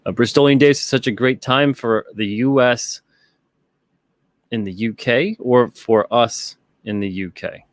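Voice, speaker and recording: Male streamer host, mid-pitched, conversational and rambling, very dry recording. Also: no room sound. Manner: rambling, conversational, repeats himself. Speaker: live-stream show host. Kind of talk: casual chat, reading out live chat comments.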